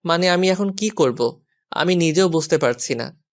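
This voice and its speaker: A man's voice: fairly high pitch, lively intonation, a little slow. A man playing a flustered, exasperated young husband who pleads and gives in, in a romantic audio story. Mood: pleading, resigned.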